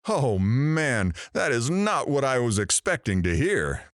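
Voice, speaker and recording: A man's voice: big pitch swings, slow pace, dry recording, studio quality. A man playing a wisecracking, teasing radio talk show host in an audio drama, sarcastic and theatrical. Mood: eager, amused. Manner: sarcastic, theatrical swoops in pitch.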